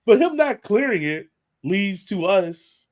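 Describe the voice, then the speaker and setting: A man hosting a sports podcast, talking through a match in an animated, casual way and often checking his points with a quick "right?". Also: high-pitched. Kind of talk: casual match analysis chat.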